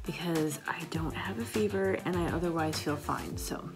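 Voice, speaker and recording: A woman with a slightly raspy voice chatting casually to camera in her kitchen, rambling with lots of fillers. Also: low voice, normal speed, over music. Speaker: vlogger, paramedic. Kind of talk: casual coffee chat to camera. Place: home kitchen, indoors.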